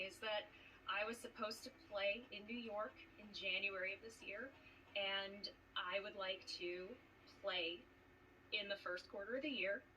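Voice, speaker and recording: A woman with a bright, forward voice makes a casual, halting announcement as a trivia competitor. She sounds distant from the mic, with some faint noise around her. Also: mid-range voice. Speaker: returning trivia competitor issuing a challenge.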